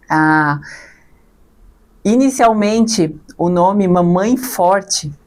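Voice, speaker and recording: A woman's voice: fairly low pitch, darkish tone. A woman in her thirties from southern Brazil with a Santa Catarina accent touched by gaúcho speech, speaking reflectively as a podcast guest. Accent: Santa Catarina Brazilian Portuguese with some gaúcho. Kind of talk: casual podcast conversation.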